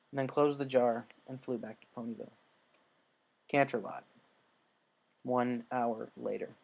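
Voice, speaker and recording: A man's voice: mid-range voice, darkish tone, low-quality recording. A man reading scripted fan fiction aloud as the narrator. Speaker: story narrator.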